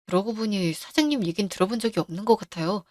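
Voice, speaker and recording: A woman's voice: mid-range voice, fast, darkish tone, no echo, studio quality, close to an everyday voice. A woman voicing a polite, upbeat young female shop employee in a radio drama. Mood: chatty.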